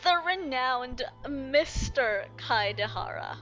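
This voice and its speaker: Bright, higher-voiced woman gamer. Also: takes it slowly. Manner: gushing, playful, expressive. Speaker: gaming video creator. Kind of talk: casual game reaction commentary. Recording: dull lo-fi mic, faint game music.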